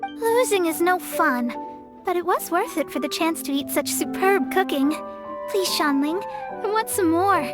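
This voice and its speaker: A woman's voice: lively intonation, a little slow. A woman voicing a good-natured, eager young competitor in a video game, with a childlike voice. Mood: rueful, eager. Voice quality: childlike, high-pitched. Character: young good-natured competitor, eager eater and sore-but-happy loser. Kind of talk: English video game voice acting. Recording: music playing behind the voice.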